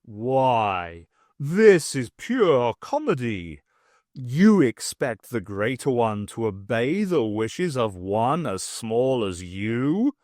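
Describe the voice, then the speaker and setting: Deep, slow, imperious alien warlord. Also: male voice, very animated, a little mellow, no echo, clean studio sound. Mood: scornful amusement. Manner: slow, pompous, menacing. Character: imperious mocking alien warlord, the greater one.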